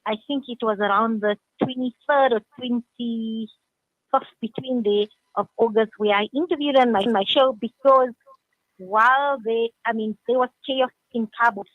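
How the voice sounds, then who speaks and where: A woman's voice: a little high, moderate pace, no echo. A woman radio presenter telling a story in live discussion, speaking emphatically and repeating key phrases. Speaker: senior radio presenter, studio guest. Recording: narrow phone-like sound.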